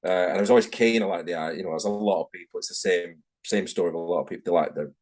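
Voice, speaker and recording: A man's voice: low voice, very fast, a little mellow. A middle-aged man with a Manchester accent, talking frankly in a casual interview over a video call and often correcting himself. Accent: Manchester English. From England, Manchester. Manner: rambling, frank, self-correcting. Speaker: tattoo artist guest.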